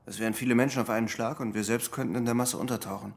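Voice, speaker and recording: A man's voice: medium pitch, steady tone, rapid-fire, natural voice. An actor playing an uneasy male double agent in a crime radio drama, with an understated, guarded delivery. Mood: businesslike, guarded. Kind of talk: German crime radio drama, narration and dialogue.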